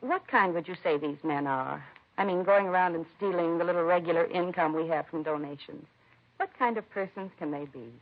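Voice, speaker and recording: A woman's voice: lowish voice, fairly quick, natural voice. An actress playing an earnest, indignant woman who runs a children's home in a 1950s radio drama, plain-spoken and heartfelt. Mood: bitter, indignant. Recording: dull vintage radio recording.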